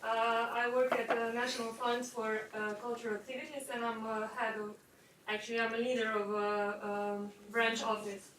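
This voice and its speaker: A woman's voice: a little high, level tone, normal speed. Woman in an echoey room. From Slovenia. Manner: hesitant, with fillers and short laughs. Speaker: workshop participant. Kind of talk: self-introduction in a group. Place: workshop room. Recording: echoey room, far mic, hiss.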